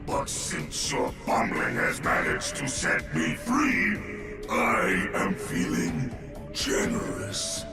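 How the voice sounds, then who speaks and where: A man voicing a tyrannical, furious ancient evil mask spirit in a video game, gravelly, booming and commanding. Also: recorded from a distance, full character voice. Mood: menacing, impatient. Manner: menacing, theatrical, commanding. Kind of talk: villainous video game acting. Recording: very echoey, loud music behind.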